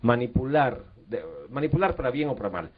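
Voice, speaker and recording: A man's voice: mid-range voice, lively intonation, fairly quick, background noise. A man interviewing, asking probing questions and pushing back on the answers. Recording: dull lo-fi recording.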